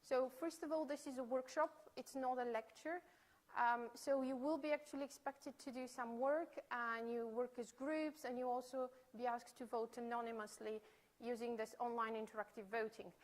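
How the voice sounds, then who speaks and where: Female study skills advisor, fairly high voice, friendly and explanatory. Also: fairly even intonation, moderate pace. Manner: friendly, explanatory, with frequent fillers. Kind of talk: workshop presentation. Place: lecture room.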